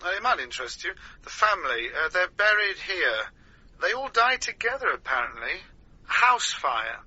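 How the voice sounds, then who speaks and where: An actor playing an eager but hesitant man in a naturalistic radio drama, husky and sharp, speaking tentatively with halting questions. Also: sits high, very animated, exaggerated voice. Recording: dull radio recording.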